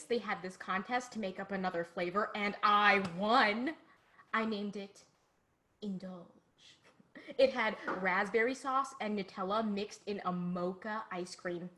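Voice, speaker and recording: A woman acts out a sheltered young girl with a chirpy, earnest way of talking. Her voice sits at a middle pitch for a woman. She chatters innocently, like a kid hosting a homemade cooking show in a play. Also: a bit bright, close to an everyday voice. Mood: proud, excited. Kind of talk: dramatic monologue as online cooking show.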